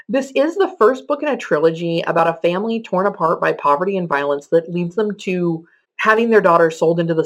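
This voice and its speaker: A woman podcaster presenting recommendations in a semi-scripted countdown, conversational and explanatory. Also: on the low side. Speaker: podcaster giving book recommendations.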